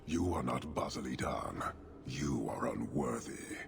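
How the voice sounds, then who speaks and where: An actor playing a stern, proud horned warrior leader in a video game, speaking formally and slowly with a commanding, gravelly manner. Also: male voice, rumbling low, music in the background, full character voice. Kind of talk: video game cutscene acting.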